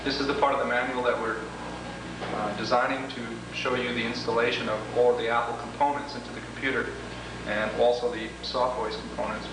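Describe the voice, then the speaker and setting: A man's voice: medium pitch, little pitch movement, brisk. Man demonstrating equipment in noisy, echoey room. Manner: methodical, explanatory, formal phrasing. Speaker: product demonstrator and presenter. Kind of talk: instructional product demonstration, step by step. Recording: echoey room, clearly noisy old home video.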